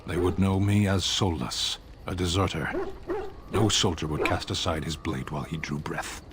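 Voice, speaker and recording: An actor playing a stoic, grim giant warrior in a video game, speaking in a terse, solemn, measured way. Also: male voice, deep voice, lightly acted. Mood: grave, bitter. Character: stoic, grim giant warrior, disgraced soldier far from home. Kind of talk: video game character acting. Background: music.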